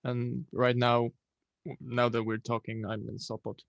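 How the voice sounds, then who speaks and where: A young man from Poland, a musician guest, answering interview questions in a relaxed, rambling way with lots of fillers. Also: mid-range voice, a little slow, vocal fry, no room sound, lo-fi sound.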